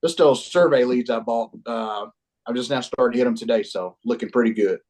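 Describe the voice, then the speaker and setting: Quick-talking male agent, mid-range voice, folksy and brisk. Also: mid-range voice, a little mellow. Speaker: insurance agent. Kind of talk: casual phone and team-call talk.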